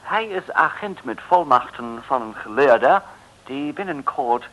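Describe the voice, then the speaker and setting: A man's voice: medium pitch, lively intonation, a little slow, a bit bright, rough recording. A man playing a fussy, stiff English lord in a comic radio play, hesitant, with an English accent and English words mixed in. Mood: hesitant, explaining.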